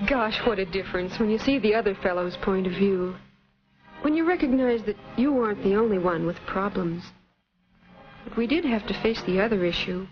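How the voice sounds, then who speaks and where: A woman's voice: medium pitch, natural voice. Young American wife, played by a woman, 1950s instructional drama: sincere, reflective, devoted; dull vintage soundtrack with music. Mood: earnest, sympathetic. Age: 20s. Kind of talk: 1950s educational film acting and narration.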